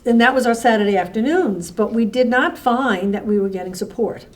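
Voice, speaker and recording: A woman recalling her work as a festival co-founder in a conversational documentary interview, haltingly searching for words. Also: on the high side. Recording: distant mic with background noise.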